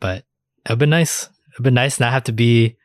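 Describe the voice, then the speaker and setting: A man's voice: medium pitch, steady tone, moderate pace, dry recording. Casual man in a dry, close recording. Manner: casually profane. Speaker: podcast co-host. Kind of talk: casual chat about video games.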